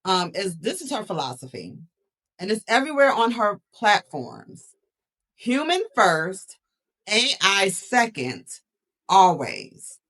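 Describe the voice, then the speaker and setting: A woman co-hosting a podcast, introducing her guest with playful slang and lively hype before asking her questions. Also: mid-range voice, slow. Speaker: podcast co-host. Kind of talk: casual interview.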